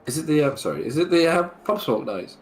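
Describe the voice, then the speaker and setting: A British man chats casually as co-commentator on a racing livestream. His intonation swings widely over a mid-pitched voice, and he talks in joking, stop-start phrases. Noise is clearly audible behind him. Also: very animated, fast talker, a little mellow. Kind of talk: casual chat during race commentary. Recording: noisy voice chat on race stream.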